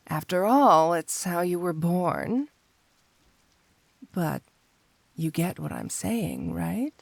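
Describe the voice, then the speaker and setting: A woman's voice: lowish voice, dry recording. A woman playing a flirty, smug supervillain cat burglar in an intimate ASMR roleplay, teasing and coaxing the listener with playful confidence. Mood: coaxing, sympathetic.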